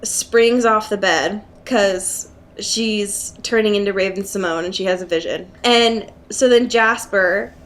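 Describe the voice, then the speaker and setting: A woman's voice: mid-range voice, steady tone, unhurried, fairly bright tone. A young American woman co-hosting a casual podcast, chatting in slangy, exclamatory, sweary language. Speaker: podcast co-host. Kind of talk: casual chat, recapping a book.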